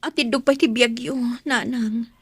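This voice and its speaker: A woman's voice: on the high side, fairly even intonation, unhurried, breathy edge, dry recording, studio quality. A woman playing a long-suffering abandoned wife and mother in a radio drama, earnest and sorrowful. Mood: worried, sad. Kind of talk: Ilocano radio drama acting.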